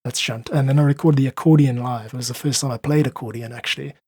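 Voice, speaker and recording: A man's voice: medium pitch, steady tone, quick pace, darkish tone, slightly rough. A man chatting casually as a podcast guest, in an easygoing, informal manner. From South Africa. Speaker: podcast guest, independent musician. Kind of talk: casual interview chat.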